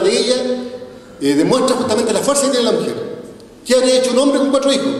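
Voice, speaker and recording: A man in his forties, a mayor giving an earnest, rhetorical speech from a podium, often adding tag questions. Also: sits high. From Chile. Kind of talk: formal public speech. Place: large hall, on stage at a podium. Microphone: podium microphone, recorded from a far camera. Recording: echoey hall from far off.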